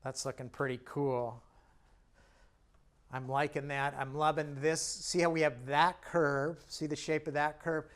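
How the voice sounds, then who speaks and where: A man's voice: fairly high pitch, level tone, measured pace, fairly bright tone. Chatty man teaching painting. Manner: chatty, self-deprecating and jokey while working; talks while painting and stepping back from the canvas. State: slightly hoarse, clearing his throat. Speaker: painting instructor. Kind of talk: casual painting demonstration.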